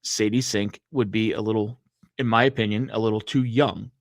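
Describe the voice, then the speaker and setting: Lower-voiced man, measured casual talk. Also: steady tone, no echo, clean studio sound. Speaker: podcast co-host. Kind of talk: casual chat about comics and films.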